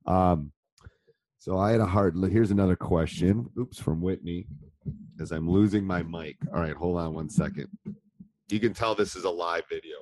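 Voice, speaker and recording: A man in middle age with a very low, deep voice, roughened a little by a cold. He talks through coaching ideas in a loose, rambling way, stopping to restart his thoughts and filling the gaps with ums. The sound is dry and close, with no room around it. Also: normal speed, a little mellow, dry recording, low-quality recording. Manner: conversational, many ums and restarts. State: has a cold. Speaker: basketball coach. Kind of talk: live online coaching session answering questions. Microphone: handheld mic during live stream.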